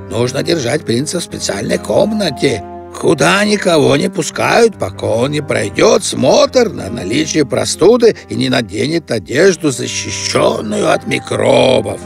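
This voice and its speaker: An earnest court physician from a fairy-tale cartoon, acted by a man. His voice is heavily creaky, with lively intonation and a formal, instructive manner. Music plays underneath. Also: medium pitch, heavily acted. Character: earnest male royal court physician giving orders.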